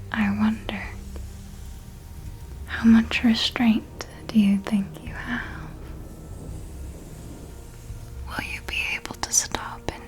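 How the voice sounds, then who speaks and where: A woman playing a seductive, controlling female vampire mentor in a whispered ASMR roleplay, speaking in a deliberate, commanding and breathy way. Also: fairly high pitch. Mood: teasing, doubtful.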